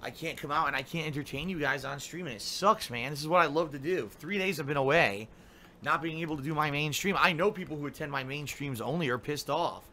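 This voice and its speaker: A man's voice: on the high side, quick pace, pushed and bright, slight background noise. A middle-aged man streaming games live, rambling and complaining in a casual monologue full of fillers. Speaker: game streamer.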